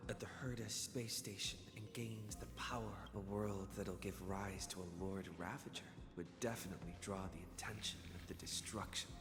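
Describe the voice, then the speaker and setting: A man's voice: fairly low pitch, fairly even intonation, moderate pace, few pauses, natural voice. An actor playing an earnest young male adventurer in a video game, musing and a little hesitant. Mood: pensive. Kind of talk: scripted video game voice acting. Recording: long reverb under game music.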